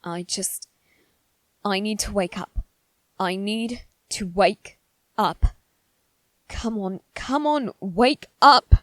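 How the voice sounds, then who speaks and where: A woman voicing a haughty, anxious young noble boy in a halting, formal monologue for dramatic ASMR roleplay. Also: mid-range voice, no echo, lightly acted. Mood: panicked, insistent. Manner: halting, formal, self-reassuring delivery.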